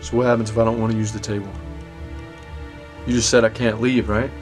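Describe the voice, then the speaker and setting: A man's voice: fairly low pitch, little pitch movement, a little fast, music in the background, close to an everyday voice. A man playing a defiant, foul-mouthed drug dealer with a short fuse in a screen drama, blunt and streetwise. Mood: wary, questioning. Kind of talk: naturalistic screen drama acting.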